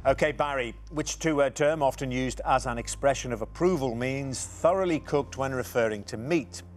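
A man's voice: medium pitch, slight background noise. A middle-aged man hosting a studio quiz show, reading questions and chatting with contestants. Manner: brisk, reads questions clearly.

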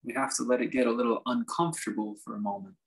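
Man guiding a meditation in halting phrases. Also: mid-range voice, fairly even intonation, vocal fry, poor audio. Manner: measured, halting phrases with reflective pauses. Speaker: meditation teacher. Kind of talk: guided meditation, unscripted instruction.

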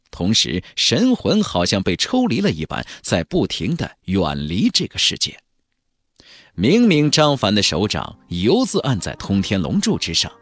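A man narrating a fantasy audiobook in a measured, theatrical storytelling delivery. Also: sits low, a bit bright. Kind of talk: dramatic scripted narration of a fantasy novel.

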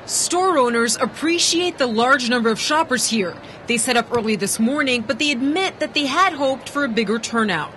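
A young woman delivering a local TV news report in a steady, clear voice that sits a little high. Light noise hangs under the track. She keeps a measured reporter's pace.

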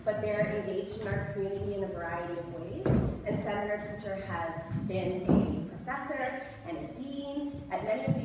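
A woman teacher introduces a guest speaker to students, casual with fillers. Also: mid-range voice. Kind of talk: formal introduction. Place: large school auditorium stage. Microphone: far camera in the audience. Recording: distant echoey hall, loud noise.

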